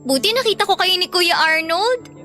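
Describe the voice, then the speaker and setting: Radio drama casting: a dutiful, chirpy Filipino kid sister, very high bright voice, faint music beneath. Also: female voice, animated, normal speed, exaggerated voice. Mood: cheerful. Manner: chirpy, childlike. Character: sweet, eager, dutiful younger sister, a student.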